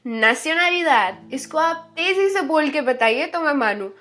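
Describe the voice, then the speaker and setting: A woman from India teaching Spanish in an online lesson. She repeats each word slowly and draws out the sounds. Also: sits high, lively intonation, a bit bright. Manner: slow, drawn-out pronunciation drills, repeating words.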